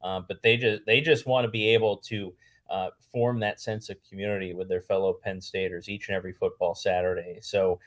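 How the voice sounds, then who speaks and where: A man from Pennsylvania with a lowish voice speaks as a podcast guest. His delivery is earnest and conversational, building up lists and returning to key phrases. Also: steady tone, fairly quick. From Pennsylvania, United States. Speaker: public address announcer and podcast guest. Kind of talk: conversational interview answer.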